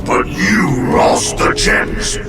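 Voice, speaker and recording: An actor voicing an ancient, wrathful evil mask spirit in a video game, gravelly, menacing and grandiose, bellowing like a tyrant. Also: male voice, extremely slow. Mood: furious. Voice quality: gravelly, growling. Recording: echoey, distant, music behind.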